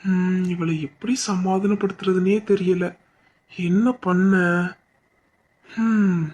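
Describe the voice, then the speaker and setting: A woman playing a husband who softly tries to calm his crying wife, in an amateur family drama. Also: lowish voice, slow pace, muffled recording, a little hiss or hum. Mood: soothing. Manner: soft, coaxing, plain-spoken. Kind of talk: amateur domestic drama acting.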